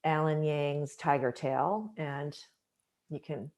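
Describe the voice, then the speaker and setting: Woman interview host, low-pitched, slow and admiring delivery. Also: fairly even intonation. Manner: warm, admiring, unhurried introductions. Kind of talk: conversational interview.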